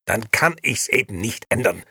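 A man's voice: lowish voice, expressive, a little fast, fairly bright tone, dry recording, clean studio sound, pitched above the performer's own voice. A man voicing a nervous, irritable, ambitious male innkeeper who justifies himself defensively, in an audiobook. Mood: agitated, pleading. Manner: agitated, defensive, self-justifying. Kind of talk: audiobook character voice.

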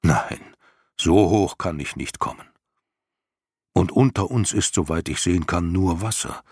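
A man's voice: sits low, unhurried, a little mellow, husky, no room sound, clean studio sound, exaggerated voice. A man reading an audiobook, voicing a big, timid male dragon who worries and warns. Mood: worried. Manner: worried, cautionary. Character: large male luck dragon, timid and excitable. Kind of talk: audiobook character voice.

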